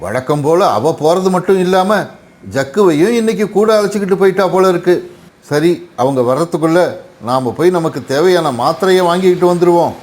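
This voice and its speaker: Guy voicing a worn-out hardworking crow dad in a moral cartoon, kinda high voice, complaining. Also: a little fast, a little mellow, lightly acted. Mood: weary, resigned. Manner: reproachful, resigned, lamenting. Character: weary hardworking father crow, long-suffering woodcutter. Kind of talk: Tamil animated moral story acting.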